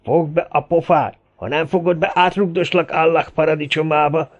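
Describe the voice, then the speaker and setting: A hot-headed, grieving gunman from an adventure audiobook , acted out by a male narrator. He rants and threatens in exclamations, his voice pushed higher, on a somewhat muffled recording. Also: fairly even intonation, natural voice. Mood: furious, threatening.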